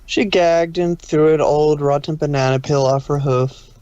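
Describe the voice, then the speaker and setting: A man's voice: on the high side, fairly even intonation, unhurried, warm tone, clear, resonant tone, no echo, highs cut off. A man reading scripted fan fiction as the narrator of a dramatic story.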